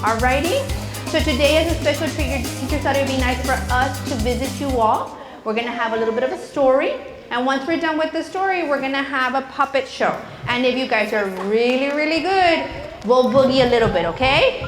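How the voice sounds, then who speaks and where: A woman storyteller talking to young children in simple, coaxing instructions and animated read-aloud, at a school. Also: high voice, a little fast, over music. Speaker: children's storyteller and puppet show presenter at a school. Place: large echoey room at a school, with an audience. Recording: echoey room with noisy crowd of children.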